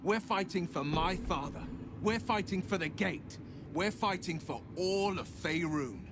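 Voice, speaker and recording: An actor playing a noble, resolute young hero who speaks in an earnest, rousing way. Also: male voice, sits high, unhurried, noisy background. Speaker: game companion. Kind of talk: video game dialogue.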